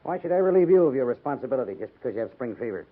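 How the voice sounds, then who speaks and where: An actor playing a gruff but easygoing cavalry major with an authoritative manner in a 1950s radio drama. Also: male voice, medium pitch, very animated, rapid-fire, phone-quality sound. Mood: commanding. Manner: emphatic, authoritative. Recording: noisy band-limited 1950s radio recording.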